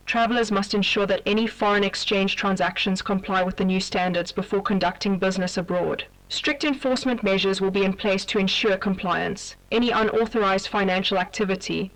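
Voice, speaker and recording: The voice is that of an official announcer delivering a scripted advisory. It is formal, measured and impersonal, sitting at a medium pitch. It sounds set back from the microphone, like a radio broadcast. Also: fairly bright tone, far from the mic, rough recording.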